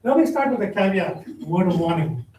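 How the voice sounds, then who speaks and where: A man with a big, loud, high voice that still sounds dark, lecturing to a conference crowd and tossing in wry jokes. He is picked up from a distance in a roomy hall, with a faint hum of the room behind him. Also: sing-song, brisk. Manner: direct, joking asides to the audience. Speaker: keynote speaker. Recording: echoey hall, distant mic, faint noise.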